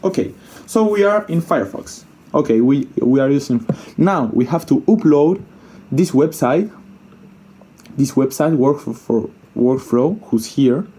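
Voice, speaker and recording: Male tutorial presenter, soft mellow tone, informal and hesitant, thinks out loud as he demonstrates. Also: mid-range voice, husky. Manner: informal, hesitant thinking aloud, repeats himself, mild swearing. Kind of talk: casual unscripted screen-share coding tutorial.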